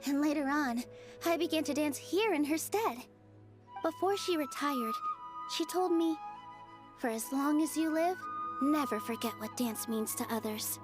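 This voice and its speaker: Gentle young dancer girl from a game, high soft voice, earnest, music behind. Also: unhurried. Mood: sincere. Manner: soft, sincere, reflective storytelling. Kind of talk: video game voice acting. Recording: game dialogue over clear music.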